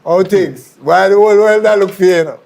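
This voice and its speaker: A man's voice: high voice, a little fast, gravelly, a little mellow, a little hiss or hum. A man with a Jamaican accent hosting a music interview, putting questions to his guests. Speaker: interviewer, show host.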